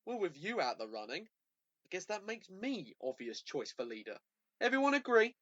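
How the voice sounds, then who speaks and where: A woman's voice: lowish voice, very animated, moderate pace, fairly bright tone, highs cut off. A woman acting in an amateur radio play as an arrogant, sarcastic city professional with a pompous, scornful manner. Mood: sarcastic, smug.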